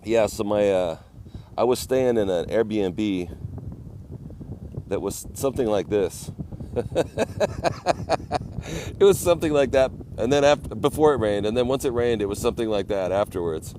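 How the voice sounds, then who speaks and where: A man's voice: lowish voice, moderate pace, long pauses, mellow, slight background noise. A man livestreaming outdoors from a phone with an ear-worn mic, chatting casually, rambling, and greeting viewers by name. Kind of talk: casual live-stream chat. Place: outdoors at a garden cafe.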